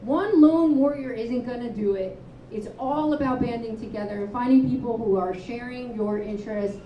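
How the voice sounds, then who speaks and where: A woman author and advocate speaking candidly at a live panel, telling stories with quick asides. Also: fairly high pitch, a little hiss or hum. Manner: candid, storytelling, animated asides. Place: live event venue, indoors. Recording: roomy, distant, dull live-room sound.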